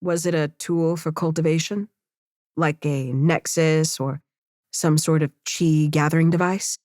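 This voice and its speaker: A woman's voice: low-pitched, steady tone, unhurried, a little mellow, no echo, studio quality. A woman playing a cool, pragmatic cultivator adventurer in an audiobook, firm and matter-of-fact. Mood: curious, skeptical. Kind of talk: character acting in an audiobook.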